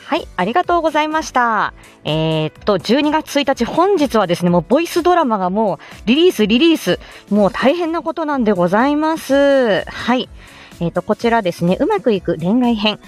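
A woman's voice: a little high, sing-song, fairly quick, soft background music. A woman chatting casually as a live-stream host, greeting listeners from inside a car.

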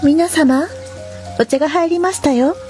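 A woman's voice: sits high, a little slow. An actress playing a polite female secretary of a mansion in a voice drama, speaking with formal courtesy. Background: music and rain.

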